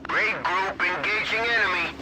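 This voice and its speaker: An actor playing an eager, brisk navy radioman reporting in a war video game. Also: sits high, background noise, close to an everyday voice. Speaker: naval radio operator. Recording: very loud music and engines behind.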